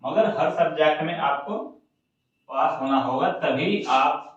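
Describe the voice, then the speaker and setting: A man's voice: fairly high pitch, measured pace. A man giving informal exam guidance to students in an instructive way, repeating points for emphasis. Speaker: exam coaching YouTuber. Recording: very echoey room, distant mic, muffled.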